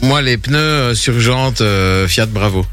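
A man's voice: medium pitch, slow pace, a bit bright, faint music behind. A man making casual, improvised prank calls on a radio show, playful and mock-earnest. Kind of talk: casual improvised phone banter. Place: radio studio.

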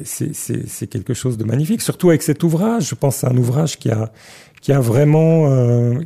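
A man's voice: mid-range voice, vocal fry, mellow. A man with a Genevan accent, speaking as a guest author in a radio interview, reserved and hesitant with many restarts. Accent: Genevan French. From Switzerland (Geneva).

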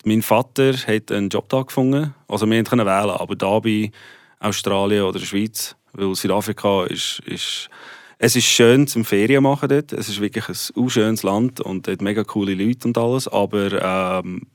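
A man's voice: lowish voice, fairly even intonation, moderate pace, no room sound, clean studio sound. A young man from South Africa, a native Afrikaans speaker, talking casually in Swiss German with an Afrikaans tinge. He laughs easily and often restarts his phrases. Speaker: guest musician. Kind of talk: casual interview chat.

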